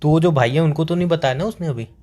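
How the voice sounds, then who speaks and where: A man's voice: on the high side, fast, a little mellow. A young man playing a boyfriend on a staged couple phone call, joking and teasing.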